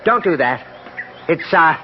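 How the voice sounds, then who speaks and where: An actor playing an earnest, superstitious scholarly gentleman with a solemn theatrical manner in old-time radio style. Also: on the high side, expressive, measured pace. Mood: anxious, warning. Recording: muffled, slightly noisy 1970s radio broadcast.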